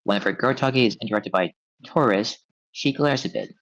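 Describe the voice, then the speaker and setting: Male stage-direction reader, lowish voice, table-read narration, dry and slightly muffled recording. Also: fairly quick, a bit bright, no room sound. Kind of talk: reading script directions aloud at a table read.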